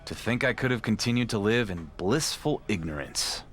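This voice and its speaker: A sardonic wrestling champion in a game cutscene. His voice is low and his delivery dry, guarded and sarcastic. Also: expressive, normal speed, a bit bright. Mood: wry. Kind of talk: video game cutscene dialogue.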